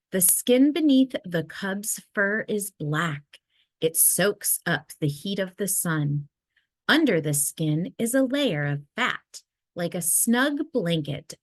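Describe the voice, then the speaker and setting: A woman reads a children's nonfiction book aloud in a slightly low voice. Her delivery is unhurried and measured, with very lively rises and falls that suit storytelling for young listeners. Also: no room sound. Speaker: read-aloud narrator.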